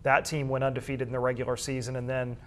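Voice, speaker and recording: A man with a medium-pitched voice answers interview questions in detail. He explains things in a measured, conversational way, as a school sports information staffer and game broadcaster would. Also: level tone, a bit bright, a little hiss or hum.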